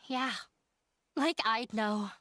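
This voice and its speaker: A woman playing a resigned, self-blaming young runaway daughter in a scripted anime video game, speaking in an earnest, wistful, measured way. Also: a little high, a bit bright, a little hiss or hum. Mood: bitter, resigned. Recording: game music behind the voice.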